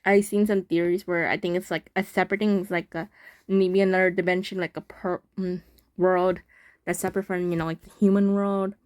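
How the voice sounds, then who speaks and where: A woman's voice: on the low side, little pitch movement, fairly quick, no room sound, studio quality. A woman giving casual, informal playthrough commentary on a game, thinking aloud and often saying "like" as she goes. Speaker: game streamer.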